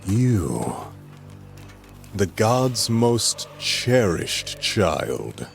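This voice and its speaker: A man's voice: fairly low pitch, sing-song, drawn-out words, vocal fry, soft background music, full character voice. An actor playing an ominous, taunting, accusing man who pronounces doom in a video game cutscene. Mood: menacing, accusing. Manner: accusing, mocking, portentous.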